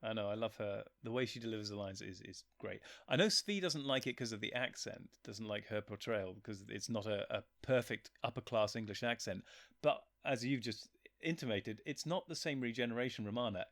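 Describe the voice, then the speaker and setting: A man's voice: fairly low pitch, a little fast, creaky, fairly bright tone, no echo. A man chatting casually as a guest, talking enthusiastically and wandering off on tangents. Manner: enthusiastic, rambling and digressive. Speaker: guest, writer and voice actor. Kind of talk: casual chat.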